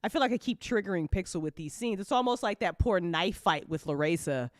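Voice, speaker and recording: A woman with a clean, smooth voice chats informally as a host, recorded close and very dry. Her talk rambles along in a loose, giggly way, with the easy language of a casual hangout. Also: lowish voice, animated, brisk, no echo. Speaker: audio drama creator hosting a live after-show chat. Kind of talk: casual chat.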